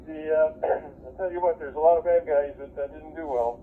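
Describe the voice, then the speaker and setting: Older American man on narrow, noisy radio. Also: on the high side. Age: older, retired. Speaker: retired police dispatcher, amateur radio operator. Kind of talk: casual radio chat. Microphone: two-way amateur radio. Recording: narrow, phone-like radio link with static noise.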